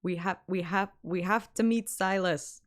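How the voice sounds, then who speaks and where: Low-voiced woman streaming a story game. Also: steady tone, unhurried. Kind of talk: casual commentary and narration over a story game.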